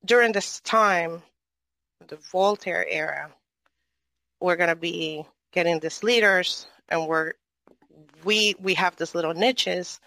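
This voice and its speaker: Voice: medium pitch, slow pace, vocal fry, long pauses, bright, forceful tone, dry recording, low-quality recording. A moderator from Venezuela in a casual online audio chat, asking a long, rambling question and often tagging on "right?".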